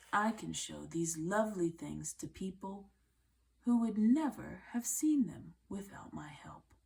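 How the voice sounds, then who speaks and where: Lady reading humble old farmer guy in a picture book, earnest, lowish and super slow. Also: creaky, darkish tone, exaggerated voice. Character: earnest, humble older male farmer devoted to nature.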